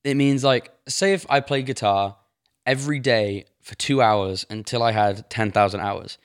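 A teenage boy with an English accent, from Sheffield, co-hosting a podcast in relaxed, explanatory chat. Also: medium pitch, clean tone. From Sheffield, England. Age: teens. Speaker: podcast co-host. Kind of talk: casual chat.

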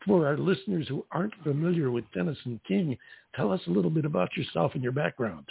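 A man's voice: a little high, animated, fast talker, gravelly, breathy edge. A male host interviewing a musician in a casual, chatty way, repeating words as he thinks. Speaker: radio show host. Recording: tinny phone-like line.

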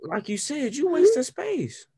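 A man's voice: extremely high pitch, wildly swooping pitch, a little slow, gravelly, warm tone, no room sound, clean studio sound. A man in casual podcast chat, emphatic and slangy as he backs up a point.

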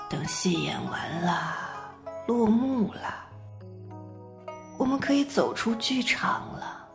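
A woman acting a tender, weary woman making peace with an old love in a radio play, hushed and deliberate. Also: mid-range voice. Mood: reflective, resigned. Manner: slow, hushed, deliberate, pausing between short phrases. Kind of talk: amateur radio drama reading of a stage play. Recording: zither music behind. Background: zither music.

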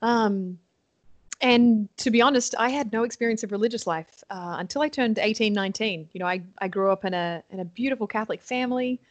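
A woman's voice: a little high, brisk, dry recording. A young adult woman from Sydney with an Australian accent, a nun guest chatting cheerfully in an interview, laughing often and saying um a lot. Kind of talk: casual interview chat.

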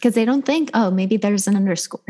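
A woman comedian talks as a podcast guest in an informal, chatty way. Her American accent blends West Coast and Midwest, and her voice is mid-pitched for a woman. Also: fairly quick, mellow. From Ohio, US Midwest. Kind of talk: casual chat.

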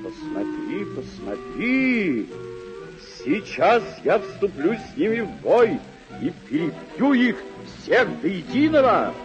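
Deluded noble old knight, slow grand declaiming, old radio play, muffled sound w music. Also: male voice, high voice, huge pitch swings, exaggerated voice. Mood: excited, bellicose. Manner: declamatory, stately, drawn-out phrasing. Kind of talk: vintage radio play acting. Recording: dull old radio recording.

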